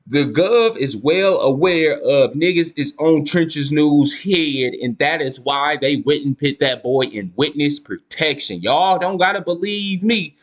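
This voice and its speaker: A young man giving casual commentary, reading court filings aloud to viewers and calling them y'all. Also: a little high. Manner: slangy, addresses viewers as y'all, reads documents aloud with stumbles. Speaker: YouTube case-file commentator.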